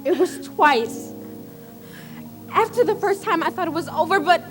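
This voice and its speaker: A woman acting a confused, frightened sixteen-year-old girl in an emotional stage drama, halting and pleading in her delivery. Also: very high voice. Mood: distressed, tearful. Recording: music behind the voice.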